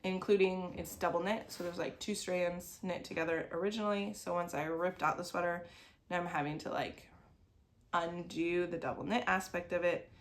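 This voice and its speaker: A woman in her thirties, sniffly from a cold, hosting a crafting video podcast from her sewing desk at home. She chats casually and meanders, with lots of "like" fillers. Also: fairly low pitch. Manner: meandering, talks around things with many "like" fillers. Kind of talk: casual chat.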